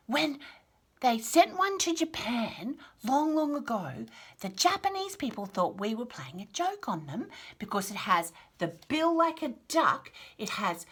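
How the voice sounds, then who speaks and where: Animated woman teaching little children. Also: sits high. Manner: encouraging, sing-song, exaggerated enunciation for small children. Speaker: children's storyteller and host. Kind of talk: read-aloud picture book lesson for toddlers, chatty asides.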